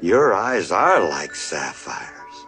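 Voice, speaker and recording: A man playing a smooth, playful suitor in scripted film dialogue, drawing out his words. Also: low voice, huge pitch swings, slow pace, music in the background, heavily acted. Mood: flirty, bashful.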